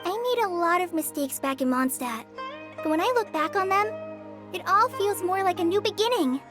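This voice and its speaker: A woman playing a shy, kindly teenage girl in a video game, speaking gently and hesitantly. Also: very high-pitched, animated, normal speed, over music. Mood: reflective, reassuring. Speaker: voice actor in a game. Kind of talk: video game story dialogue.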